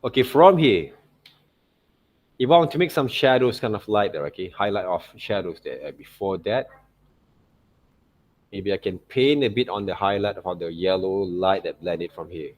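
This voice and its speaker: A man giving an unscripted step-by-step tutorial as an art teacher, halting and repetitive, often checking in with short tag words. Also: sits low. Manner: halting, repetitive phrasing with frequent "okay, right, see" checks. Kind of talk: unscripted step-by-step drawing demonstration.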